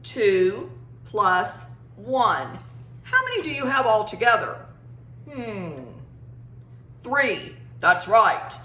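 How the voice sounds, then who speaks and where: A woman's voice: medium pitch, sing-song, extremely slow, long pauses, bright, forceful tone. A woman teaching young children in an encouraging way, with simple phrasing and questions, as in a math lesson or storytime. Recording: echoey room.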